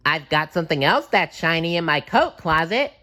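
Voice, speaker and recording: A man voicing a cheerful, earnest male sea sponge in a storybook read-aloud, with a high, bright, bouncy put-on voice. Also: very animated, moderate pace.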